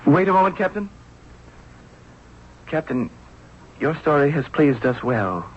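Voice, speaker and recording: An actor playing a mild, earnest young pharaoh and forgiving husband in a 1970s radio drama. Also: male voice, fairly high pitch, very animated, measured pace. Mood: mild, gracious. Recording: dull, noisy old radio broadcast recording.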